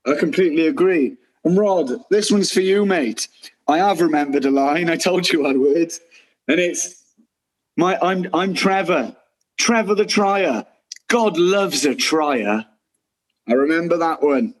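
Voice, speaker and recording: An earnest man in a casual reunion chat, thanking everyone with plenty of restarts. His voice sits a little on the high side. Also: unhurried, husky, low-quality recording. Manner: earnest, grateful, with many restarts. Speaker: cast member.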